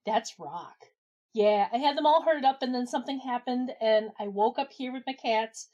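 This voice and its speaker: A woman acting in a comedic audio drama as herself, animated and exasperated. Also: fairly high pitch, normal speed, dull audio. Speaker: podcast regular playing herself in a comedy skit.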